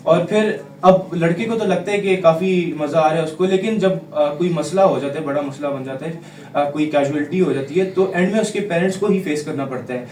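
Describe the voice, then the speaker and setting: A Pakistani young man in his late teens gives earnest, hesitant interview answers, dropping in English terms. His voice is fairly high. It sounds echoey and a little far from the mic, with faint noise. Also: brisk, a little mellow. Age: teens, about sixteen or seventeen. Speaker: student guest. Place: radio studio.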